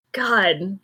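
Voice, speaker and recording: Wry woman host with lively pitch swings. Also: husky. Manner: wry, profane, informal. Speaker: podcast host. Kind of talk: casual fan podcast chat.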